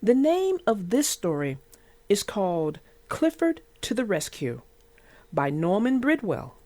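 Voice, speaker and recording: A woman children's book narrator speaking expressively and deliberately, as if to young children. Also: mid-range voice, wildly swooping pitch, darkish tone, faint music behind. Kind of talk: storybook read-aloud and casual chat.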